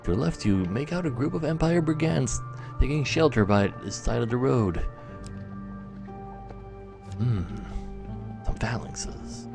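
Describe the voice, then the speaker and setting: Male game streamer, lively and expressive, chatty with viewers and narrating story text, music underneath. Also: mid-range voice, a little slow, gravelly, pauses often. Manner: chatty, talking to live viewers. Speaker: video game livestreamer. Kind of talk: casual livestream commentary mixed with dramatic read-aloud story narration.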